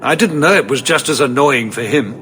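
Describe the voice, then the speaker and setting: An actor playing a weary, anxious city ruler in a video game, formal and dryly sardonic. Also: male voice, mid-range voice, animated, fairly quick, bright, forceful tone, soft background music. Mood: weary, wry. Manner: formal, dry, world-weary.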